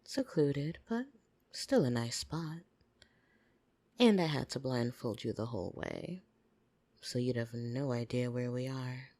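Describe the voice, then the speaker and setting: A woman playing a possessive, teasing vampire in an intimate ASMR roleplay, breathy and drawling, slipping into whispers. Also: extremely low pitch, measured pace, long pauses, warm tone, dry recording. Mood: teasing, flirtatious. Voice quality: husky, creaky. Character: possessive, teasing female vampire doting on her human pet. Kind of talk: ASMR roleplay, scripted monologue to the listener. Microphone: close, dry microphone.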